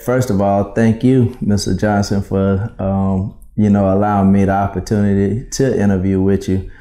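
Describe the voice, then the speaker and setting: A man from South Carolina, telling his story as a business owner in an interview, polite and measured with frequent ums. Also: lowish voice, flat delivery, dark tone.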